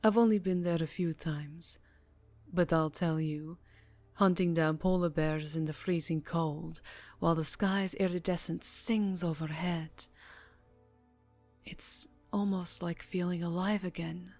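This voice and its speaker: An aristocratic vampire countess acted by a woman speaks in a fairly low, soft and breathy voice, very close to the microphone. Her pace is unhurried and languid, formal yet seductive, often slipping toward a whisper. It is an intimate ASMR roleplay with clear music underneath. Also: fairly even intonation. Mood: indulgent, wistful.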